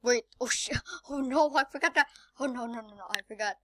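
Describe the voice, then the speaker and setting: A woman's voice: fairly high pitch, fairly quick, fairly bright tone, slight background noise. A woman who does amateur comic dubs, talking casually and self-mockingly, somewhat flustered. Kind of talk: video intro and blooper chatter.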